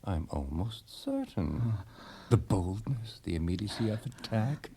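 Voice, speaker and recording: An actor playing a brusque, sceptical and excitable art dealer in a radio drama, condescending and prone to fluster. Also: male voice, fairly low pitch, unhurried, gravelly, background noise. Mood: astonished, excited. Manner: emphatic, condescending, stammering when flustered.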